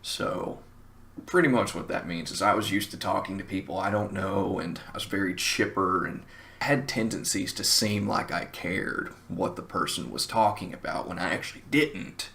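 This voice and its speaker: Southern guy telling a casual funny story, kinda wry, low n fry-heavy, sharp bright edge. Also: moderate pace. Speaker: storyteller, show host. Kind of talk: casual comic anecdote storytelling.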